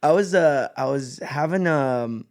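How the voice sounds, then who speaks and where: Young guy from greece, smooth clear voice, rambling lively stories w lots of like and bro. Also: mid-range voice, a little slow. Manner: animated, laughing, lots of "like" and "bro". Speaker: guest musician. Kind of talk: casual storytelling chat. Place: podcast studio.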